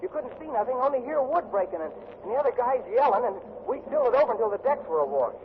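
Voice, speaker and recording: An actor playing a shaken young navy seaman who survived a shipwreck, speaking haltingly in a 1940s radio drama. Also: very high-pitched, sing-song. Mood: agitated, shaken. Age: young adult. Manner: halting, repeating, plain-spoken. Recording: muffled, noisy 1940s radio recording.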